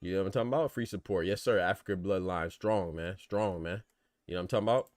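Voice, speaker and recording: A man's voice: sits low, quick pace, dry recording, clean studio sound. A man from the United States hosting a boxing podcast, giving casual, opinionated livestream commentary in colloquial, conversational speech.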